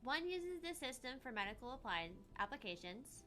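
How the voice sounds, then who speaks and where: A woman voicing an earnest young female scientist who explains research in a measured way, read from a visual novel. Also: high-pitched, sing-song, bright, forceful tone, heavily acted. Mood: earnest, explanatory. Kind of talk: reading visual novel dialogue aloud.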